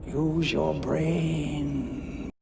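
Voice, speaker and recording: Gravelly, drawling sinister undertaker. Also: male voice, on the high side, level tone, extremely slow, full character voice. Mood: taunting. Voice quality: gravelly, creaky. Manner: drawling, taunting, theatrical. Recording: muffled audio under loud film music.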